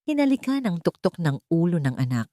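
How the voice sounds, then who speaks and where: A woman with a Filipino accent reads a dramatic story from a script. Her voice sits low for a woman, and the recording is dry and close, with no room sound. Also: sing-song, fairly quick, mellow, no echo. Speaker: narrator.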